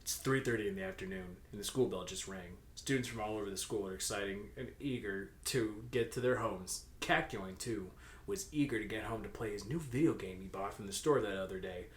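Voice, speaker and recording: A man's voice: fairly low pitch, a little fast, a little hiss or hum. A young man reading fan fiction aloud as narrator, with casual joking asides. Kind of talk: comic storybook-style narration with casual banter. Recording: echoey room.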